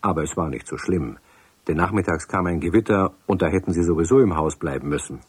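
A man narrating a children's radio play in a calm, measured way. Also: sits low, slightly rough, dry recording. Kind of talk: scripted storybook narration.

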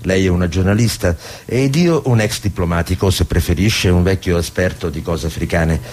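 An actor playing a guarded, courtly old former diplomat with a husky voice and a measured, formal manner. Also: male voice, sits low, darkish tone, close to an everyday voice. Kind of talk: radio drama acting.

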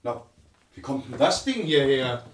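Dutiful, animated detective sergeant. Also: male voice, mid-range voice, unhurried. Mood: observant. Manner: deferential, factual reporting. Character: dutiful, observant detective sergeant reporting to his superior. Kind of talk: German radio crime drama acting.

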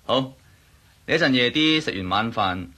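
A man's voice: mid-range voice, lively intonation, normal speed, pushed and bright. A man playing a talkative, sly Egyptian hotel waiter in a radio drama, wordy and self-justifying. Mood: mysterious, coy. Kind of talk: Cantonese radio drama acting.

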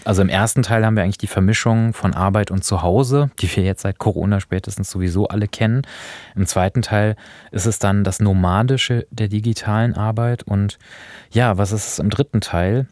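A man's voice: lowish voice, steady tone, fairly quick, a little mellow, no echo, studio quality. A man hosting a radio programme in a conversational presenting style, introducing radio drama. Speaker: radio podcast host introducing radio plays.

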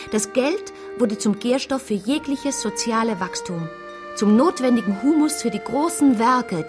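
An actress playing a reflective, hopeful woman who recounts her own story in measured, literary phrasing in a radio play. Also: a little high, natural voice. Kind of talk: first-person radio play narration.

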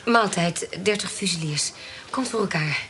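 Actress as a shrewd, low-pitched lady swindler. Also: a bit bright, a little hiss or hum, close to an everyday voice. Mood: feigned deference. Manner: brisk, commanding, slyly teasing. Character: shrewd, bossy female swindler running a country house. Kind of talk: Dutch radio play acting.

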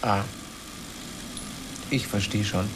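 An anxious man in command of a spaceship talks in a halting, unsure way. It is radio drama, with clear engine-like noise around the voice. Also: sits low, fairly even intonation. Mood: reluctant. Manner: halting, unsure, repeats himself. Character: hesitant, awkward, anxious male spaceship commander named Lionel. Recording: clearly noisy engine-like ambience behind.

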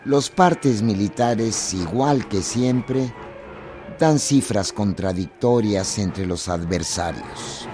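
A man's voice: fairly low pitch, slow, music in the background. A man giving scripted narration as the narrator of a radio drama.

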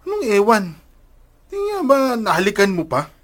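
A man's voice: high voice, wildly swooping pitch, moderate pace, fairly bright tone, slight background noise, exaggerated voice. An actor playing a nosy young male friend in a Filipino radio drama, playful and prodding. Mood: teasing.

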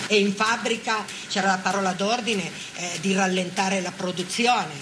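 A woman's voice: mid-range voice, fairly even intonation, moderate pace. Older Italian woman on a noisy recording. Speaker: former partisan giving testimony. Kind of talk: reflective oral-history testimony.

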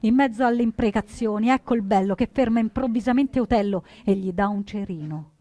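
A woman playing an earnest, proud young partisan who narrates a scripted stage drama with deliberate, dramatic phrasing. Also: mid-range voice, mellow, natural voice. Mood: tense. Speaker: storyteller narrator.

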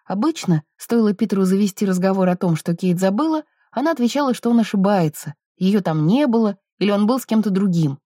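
A woman narrates a novel in a soft, mellow voice. The sound is dry, with very little room around it. Her delivery is scripted literary storytelling. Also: medium pitch, animated, fairly quick, hardly any pauses, no echo. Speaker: audiobook narrator.

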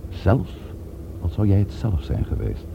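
An actor playing a brisk, pragmatic police chief in a radio drama, with a businesslike, dry manner, on an old noisy recording. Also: male voice, sits low, sing-song, vocal fry, muffled recording, exaggerated voice.

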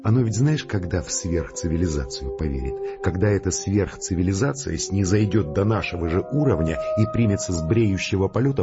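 A sardonic, disillusioned man talks wryly in an audio drama. His voice is deep and conversational, a little dull in sound, with loud music behind. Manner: wry, conversational. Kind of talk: scripted audio drama dialogue.

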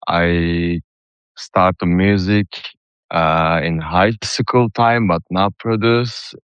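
A man from Turkey with a Turkish accent, answering casually and haltingly in non-native English as a DJ guest in a radio interview. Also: low voice, steady tone, a bit bright, clear, resonant tone, no room sound, dull audio. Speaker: guest DJ and music producer.

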